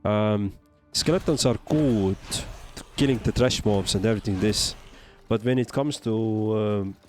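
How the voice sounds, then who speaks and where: A man with an Estonian accent speaks in a fairly low voice over faint music. He is commentating on a game for a livestream, casually responding to chat. His delivery is halting and hesitant as he works out his thoughts aloud. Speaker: game streamer.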